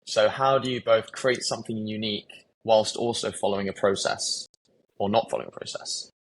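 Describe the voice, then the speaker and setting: A man's voice: lowish voice, steady tone, fairly quick, poor audio. A man hosting a podcast, asking eager questions with a light, jokey touch. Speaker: podcast host. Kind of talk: casual podcast conversation.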